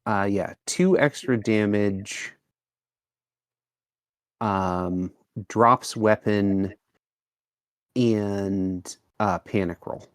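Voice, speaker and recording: Slow, low-voiced man thinking aloud. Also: no echo. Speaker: tabletop game player. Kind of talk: casual game-table talk.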